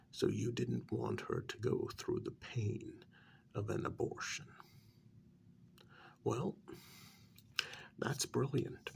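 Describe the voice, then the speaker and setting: Man performing a sardonic vampire-prison interrogator, soft ASMR delivery, very creaky, lively swooping intonation, halting, probing, half-whispered. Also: medium pitch, a little hiss or hum, exaggerated voice. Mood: blunt, measured, then sarcastic, reproachful. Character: stern, sardonic male interrogator of an imprisoned vampire. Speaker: ASMR role-play performer. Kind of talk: soft-spoken ASMR role-play.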